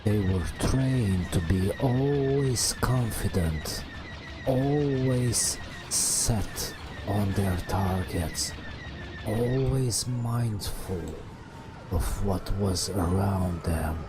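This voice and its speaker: A man softly narrating a video game as an ASMR bedtime story, hushed and drawn out, with long pauses. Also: fairly low pitch, background noise. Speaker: host narrating gameplay. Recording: music behind the voice. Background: game music.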